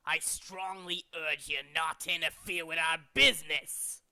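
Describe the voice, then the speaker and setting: A man's voice: on the high side, fairly even intonation, measured pace, creaky, bright, forceful tone, dry recording, exaggerated voice. A man voicing a cold, menacing male gang executive in a video game, with a condescending, theatrical way of threatening. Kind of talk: video game villain character acting.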